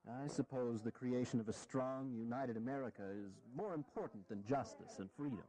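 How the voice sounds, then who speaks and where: A man's voice: mid-range voice, moderate pace, dark tone, natural voice, rough recording. An actor playing an aging, self-deprecating American statesman in a historical audio drama, sardonic, blunt and earnest. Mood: impassioned.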